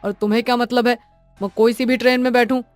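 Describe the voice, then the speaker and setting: A woman voicing a bold, irritable male traveller with a brisk, challenging manner in a cartoon story. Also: a little high, level tone, soft background music, pitched above the performer's own voice, lightly acted. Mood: annoyed, dismissive.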